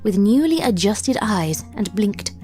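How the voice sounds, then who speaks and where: A woman reading a horror fan story aloud in steady scripted narration. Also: mid-range voice, measured pace, over loud music.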